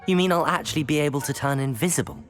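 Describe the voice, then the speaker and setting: A man's voice: a little high, rapid-fire, a bit bright, faint music behind, close to an everyday voice. A man voicing a curious, eager young student wizard in a video game, earnest and politely cautious. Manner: earnest, inquisitive.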